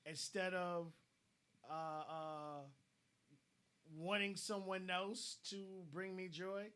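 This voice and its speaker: A man's voice: high-pitched, level tone, takes it slowly, pushed and bright, clear, resonant tone. A man co-hosting a casual online discussion, giving blunt advice and often repeating words as he starts a thought.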